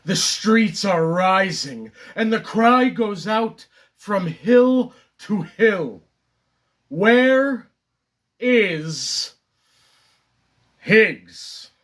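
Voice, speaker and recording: A man performing a stage comedy monologue as a neurotic, resentful theatre critic, declaiming dramatically and deliberately. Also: high voice, expressive, fairly bright tone, voice pushed up, heavily acted. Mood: anguished cry. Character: neurotic male theatre critic consumed by second-string resentment.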